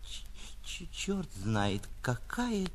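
A man's voice: mid-range voice, huge pitch swings, extremely slow, a little hiss or hum, full character voice. A male actor voicing a comic, stammering flute player who grumbles and marvels, stretching his words. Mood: grumbling.

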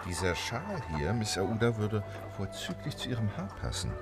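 An actor playing a composed, courteous English gentleman traveller in a German audio drama, speaking formally and precisely. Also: fairly low pitch, sing-song, normal speed, loud music behind the voice. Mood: gallant. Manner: formal, polite, unflappable, precise.

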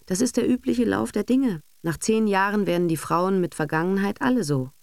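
A brisk, self-assured husband and father as a woman reader acts him, all business and cynicism in an audiobook. He is bossy and sardonic, with a creaky voice in a dry, close sound. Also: mid-range voice, dry recording, pitched above the performer's own voice, close to an everyday voice. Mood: knowing, cynical. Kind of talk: literary audiobook character acting.

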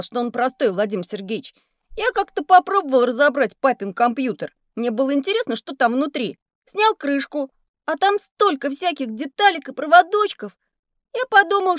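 A woman's voice: high voice, no echo, clean studio sound. A woman playing a curious, eager little schoolboy in a children's educational audio play. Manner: eager, wondering, chatty.

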